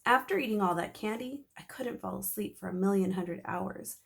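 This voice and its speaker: A woman teacher reading a children's chapter book aloud in an expressive, animated storytelling manner. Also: mid-range voice, normal speed, vocal fry.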